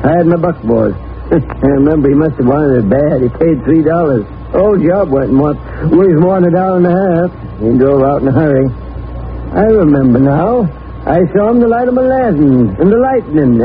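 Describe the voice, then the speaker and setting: Folksy rural old-timer, tinny old radio. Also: male voice, on the high side, animated, moderate pace. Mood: reminiscing. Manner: plain-spoken, rustic country talk. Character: folksy old rural stable keeper and deputy. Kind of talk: 1940s radio acting. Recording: tinny old radio recording with organ music.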